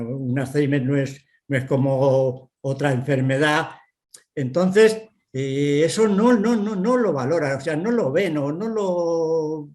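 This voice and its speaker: A man with a Castilian Spanish accent giving spontaneous testimony, repeating himself in a halting, insistent way. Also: fairly high pitch, a little mellow. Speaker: dependent person and carer.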